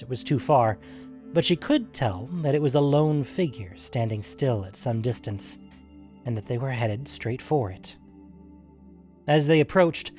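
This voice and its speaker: A man's voice: medium pitch, a little mellow. Man narrating a fantasy story over music.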